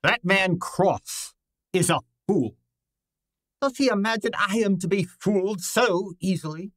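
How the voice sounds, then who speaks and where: A man acts out an imperious radio-drama detective with a high voice. He talks in formal, ornate phrases, curt and easily exasperated. The sound is dry and close. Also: unhurried, fairly bright tone, no echo. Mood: irritated, dismissive. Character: pompous, gruff, money-minded male detective, curt and imperious. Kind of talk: old-time radio drama acting.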